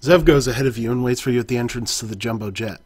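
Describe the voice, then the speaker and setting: Male storyteller with a husky, mid-range voice; reads an interactive adventure aloud and fixes his own slips. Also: medium pitch, fast talker. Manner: second-person narration, occasional self-corrections.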